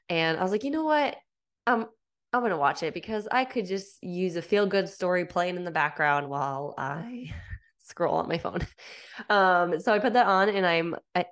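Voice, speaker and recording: A woman in her thirties hosting a casual solo podcast, stuffed up from a cold, chatty and upbeat with lots of fillers. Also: fairly low pitch. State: congested from a cold. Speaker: podcast host.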